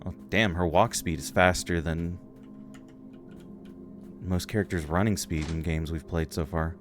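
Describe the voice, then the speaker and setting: A man's voice: sits low, steady tone, unhurried. A man reading a video game's story text aloud and commenting as he plays, with game music behind him. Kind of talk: let's play narration, reading dialogue aloud.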